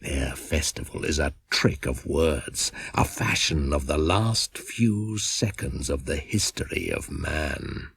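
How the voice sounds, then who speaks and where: A man's voice: a bass voice, slow, creaky, few pauses, fairly bright tone, slight background noise, full character voice. An actor playing an ancient, brooding, scornful pagan shaman spirit in an incantatory radio drama monologue. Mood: brooding, resentful. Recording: 1980s radio broadcast, music underneath. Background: music.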